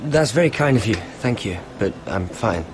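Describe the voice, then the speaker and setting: A man's voice: fairly low pitch, lively intonation, moderate pace, noisy background, natural voice. A man playing a clumsy, meek nobleman in a fantasy game, hesitant and apologetic as he talks. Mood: bashful, hesitant. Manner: hesitant, apologetic, soft-hearted. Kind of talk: fantasy video game acting. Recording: music behind the dialogue.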